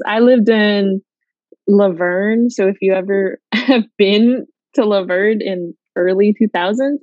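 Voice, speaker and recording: A young woman from Guatemala talks easily through an interview, with lots of fillers and quick laughs. The recording is dry and a little muffled. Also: medium pitch, no room sound. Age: young adult. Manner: chatty, many fillers, laughs often. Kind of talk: casual interview.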